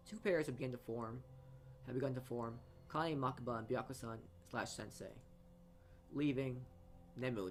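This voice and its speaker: A man hosting a gaming video, reading a visual novel aloud with casual asides and often stumbling over or repeating words. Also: medium pitch. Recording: music behind the voice. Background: game background music.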